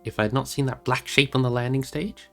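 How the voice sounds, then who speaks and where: A man voicing a brisk, practical young male hobbit who speaks in a direct, probing way in a storybook reading. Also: mid-range voice, fairly even intonation, fairly bright tone, faint music behind, close to an everyday voice. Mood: curious.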